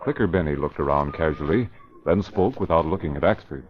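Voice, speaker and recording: A man narrating a vintage radio drama in a measured, dramatic manner. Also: rumbling low, animated, crowd noise. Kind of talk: scripted narration. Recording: muffled vintage radio broadcast.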